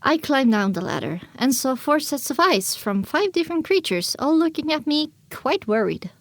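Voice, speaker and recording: A woman plays a young filly pony narrating her own journey in a dramatic audiobook. The voice is fairly high and recorded very dry, with a reflective, scripted delivery. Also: very animated, normal speed, no echo. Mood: descriptive. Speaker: first-person narrator.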